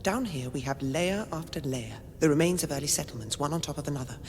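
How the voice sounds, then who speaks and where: An actress playing an earnest, brisk young female archaeologist in a radio comedy, explaining emphatically. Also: lively intonation, darkish tone. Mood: earnest, insistent. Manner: didactic, emphatic, urgent. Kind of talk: radio comedy drama acting. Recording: echoey reverberant radio drama, rumbling noise.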